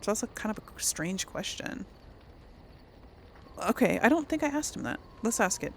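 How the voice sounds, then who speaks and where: A woman hosting a casual role-play gaming video, musing aloud haltingly and often clearing her throat. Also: mid-range voice, big pitch swings, a little hiss or hum.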